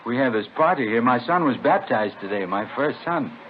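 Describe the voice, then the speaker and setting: A man's voice: mid-range voice, animated, moderate pace, lightly acted. Actor as tinny-sounding hospitable proud father. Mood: puzzled, polite. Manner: eager, welcoming, polite. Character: hospitable proud new father hosting a party. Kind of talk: 1950s radio drama acting. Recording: tinny, noisy old radio recording.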